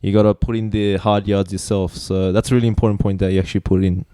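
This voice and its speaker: A man's voice: on the low side, level tone, quick pace, warm tone, clear, resonant tone, no room sound, clean studio sound. A man co-hosting a podcast, chatting casually in an easygoing way and often laughing along with his guest. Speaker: podcast co-host. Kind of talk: casual conversation.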